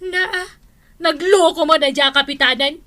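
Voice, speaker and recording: A woman's voice: sits high, measured pace, bright, forceful tone. A woman playing an exasperated village councilwoman in an Ilocano comedy drama, with a Filipino Ilocano accent. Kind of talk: acted village comedy dialogue.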